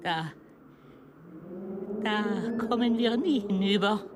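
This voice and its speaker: An actor playing a dazed, rambling mountain climber in a radio play, disoriented by altitude. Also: male voice, high voice, takes it slowly, a crowd in the background. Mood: daunted.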